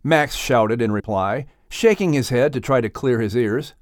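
A man narrating a children's audiobook in an expressive, scripted storytelling style. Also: medium pitch, moderate pace, no room sound, studio quality.

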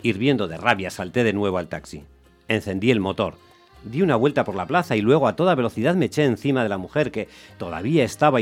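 An actor playing a hot-tempered, grumbling taxi driver who tells his story in a radio play, animated and exasperated. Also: lowish voice. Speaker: narrator and lead character. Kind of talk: dramatised first-person radio storytelling.

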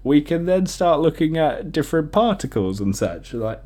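A man's voice: on the high side, sing-song, moderate pace, warm tone. Man chatting casually as a podcast host. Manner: jokey, laughs often at own remarks; acts out imagined quotes.

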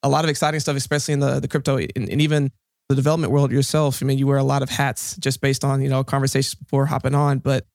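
A man's voice: medium pitch, little pitch movement, fast, creaky, no echo, clean studio sound. A man hosting a podcast, talking in a casual, upbeat interviewer's style. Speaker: podcast host.

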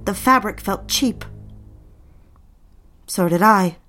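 A woman's voice: medium pitch, slow, fairly bright tone. An actress playing an anxious, submissive young wife in a dramatic audio fiction, hesitant and pleading, with music behind her. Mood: brooding, confessional. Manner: hesitant, pleading, repeats herself. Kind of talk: dramatic audio fiction, dialogue and confessional narration.

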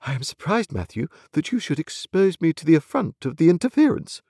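A man's voice: a little high, very animated, fairly quick, mellow, slightly rough, dry recording, studio quality, pitched above the performer's own voice, heavily acted. A man voicing a haughty, indignant upper-class mother with an imperious, affronted manner in a storybook reading. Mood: affronted dignity. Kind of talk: dramatised classic novel reading.

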